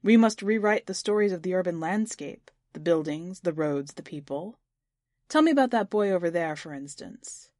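A woman reading the part of an eccentric, excitable man in an audiobook story, declaiming and firing probing questions. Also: fairly low pitch, moderate pace, dry recording, clean studio sound, natural voice. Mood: excited, lecturing.

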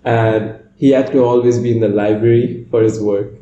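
A man's voice: lowish voice, steady tone, moderate pace, warm tone, echoey room, lo-fi sound. A young man raised in Oman, co-hosting a podcast and telling an easygoing story. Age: young adult. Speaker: podcast co-host. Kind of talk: casual chat.

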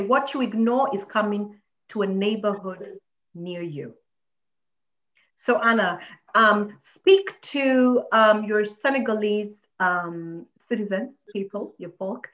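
A woman's voice: mid-range voice, muffled recording. A woman hosting an interview, talking emphatically with many fillers and repeated phrases as she urges listeners to help. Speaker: host. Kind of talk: interview host making an appeal.

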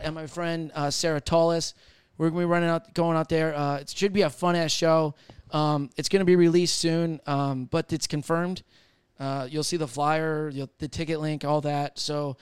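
A man's voice: on the high side, smooth, no echo, clean studio sound. A man in his thirties hosting a podcast, talking loosely and swearing, rambling with fillers. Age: 30s. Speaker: podcast host and stand-up comedian. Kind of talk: casual podcast banter.

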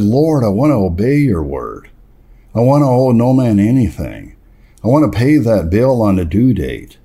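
Male reader, husky, dark relaxed tone, earnest, plain-spoken, first-person testimony narration. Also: on the low side.

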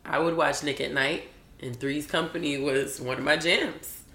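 Raspy, gravelly-voiced laid-back woman. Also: low-pitched, steady tone, moderate pace, bright, forceful tone, reverberant. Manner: laid-back, laughing often. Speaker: podcast co-host. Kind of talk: casual chat.

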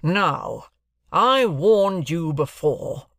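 A man's voice: on the high side, animated, measured pace, gravelly, a bit bright, dry recording, studio quality, voice pushed up, exaggerated voice. A man voicing a stern, domineering middle-aged lawyer in an audiobook, blustering and imperious. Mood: irate, stern.

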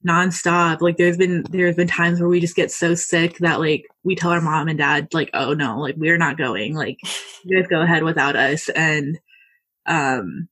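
A young American woman chatting easily through an interview, her voice soft and breathy with a slight husk. She strings her thoughts together with frequent likes and ums, relaxed and unguarded. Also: low-pitched, moderate pace. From United States. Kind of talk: casual interview.

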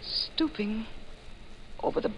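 An actress playing a reckless, passionate young woman killer who narrates her crime in an intense 1940s radio thriller style with dramatic pauses. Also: a little hiss or hum, lightly acted, rough recording. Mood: haunted, suspenseful. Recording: vintage 1940s radio recording with music.

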